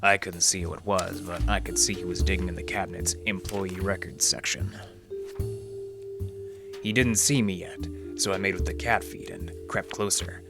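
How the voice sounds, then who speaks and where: An actor playing a wry, deadpan library detective who narrates his case in hardboiled old radio style. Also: low voice, moderate pace, vocal fry, over music. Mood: tense, wry narration. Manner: deadpan, sardonic, slangy.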